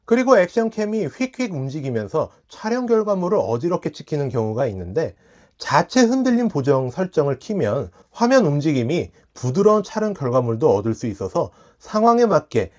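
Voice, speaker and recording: A man's voice: fairly high pitch, darkish tone. A man hosting a tech review, narrating from a script in a polite, explanatory way. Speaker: tech review channel host.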